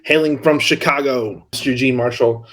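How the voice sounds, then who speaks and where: A man from Indiana hosting a podcast, chatty and relaxed, laughing often between remarks. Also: medium pitch, normal speed. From Hammond, Indiana. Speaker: podcast host. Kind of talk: casual podcast chat.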